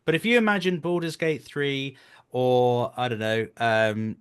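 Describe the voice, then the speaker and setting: A man's voice: medium pitch, steady tone, measured pace, smooth. A man speaking as an interview guest in casual conversation, earnest and emphatic, repeating phrases for stress. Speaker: guest, voice actor.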